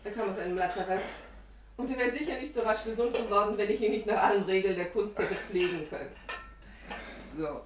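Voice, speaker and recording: An actress playing a helpful, gently firm village woman in a 1950s radio play, composed and solicitous in her speech. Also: mid-range voice, a little fast, lightly acted. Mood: helpful, brisk. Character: helpful, brisk, caring village woman, trained in nursing. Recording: roomy 1950s radio recording, faint hiss.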